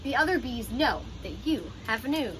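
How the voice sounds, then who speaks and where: Female teacher for children's read-aloud: higher-pitched, crisp and bright, instructive and expressive, slight background noise. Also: normal speed, low-quality recording. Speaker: teacher reading a picture book aloud. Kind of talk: children's storybook read-aloud with explanatory asides.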